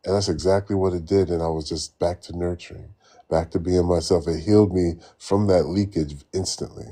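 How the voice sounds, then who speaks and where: A very deep-voiced man hosts a loose spiritual conversation over a call. He rambles, repeats words as he searches for them, and laughs easily between thoughts. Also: steady tone, fairly quick, warm tone. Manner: rambling, stammering, laughs often. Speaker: host. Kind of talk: casual spiritual chat.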